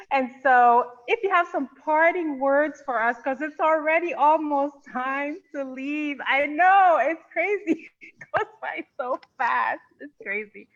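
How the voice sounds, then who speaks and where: A woman's voice: fairly high pitch, muffled recording. A woman hosting an interview in a casual, gushing way, full of fillers like "you know". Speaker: interview host.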